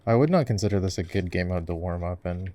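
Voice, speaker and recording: A man giving relaxed, casual gaming commentary in an ASMR roleplay, speaking off the cuff as he plays. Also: sits low, fast, dry recording. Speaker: gamer, voice actor playing a gamer boyfriend. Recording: faint keyboard clicking and typing noise. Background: keyboard typing and clicks.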